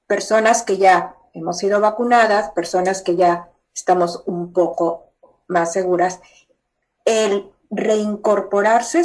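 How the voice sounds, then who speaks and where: A woman's voice: medium pitch, darkish tone. A woman speaking on a video call, hesitant and repeating words as she gives thanks. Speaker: meeting participant.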